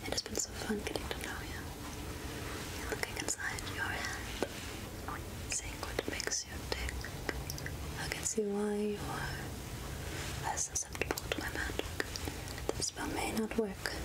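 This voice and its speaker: A woman playing a mysterious, kindly witch healer in a whispered, breathy ASMR roleplay, coaxing and murmuring to herself. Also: medium pitch, lightly acted, low-quality recording. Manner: slow, hushed, coaxing, with murmured asides.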